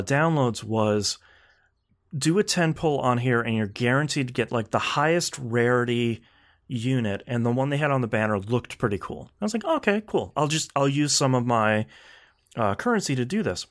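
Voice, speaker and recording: A man co-hosting a casual gaming podcast, talking in a blunt, slangy way with animated complaints. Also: mid-range voice. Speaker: podcast co-host.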